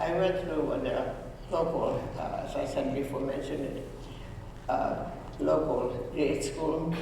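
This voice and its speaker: A woman, giving an earnest, halting personal testimony to students, often restarting her sentences. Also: sits low, creaky, darkish tone, a little hiss or hum. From Hungary. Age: 90s. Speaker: Holocaust survivor speaking to students. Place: school room or auditorium. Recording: echoey hall, voice from far off.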